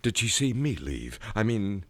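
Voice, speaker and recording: A middle-aged father in a radio drama. His voice is deep and swings widely in pitch. He is stern, tired and evasive, breaking into halting, urgent speech. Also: big pitch swings, fairly bright tone, full character voice. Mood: tense, calculating.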